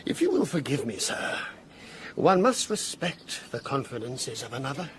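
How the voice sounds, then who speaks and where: Male actor as deferential manservant, period audio drama. Formal, obsequious, lively intonation, faint outdoor noise. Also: medium pitch, normal speed, natural voice. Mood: deferential, guarded. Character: bulky, deferential, scheming male butler of an earl.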